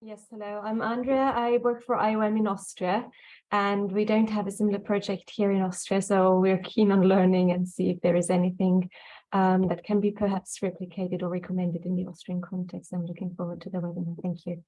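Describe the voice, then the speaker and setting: A woman's voice: medium pitch, level tone, a little fast, mellow, rough recording. A woman introducing herself as a participant in an online meeting.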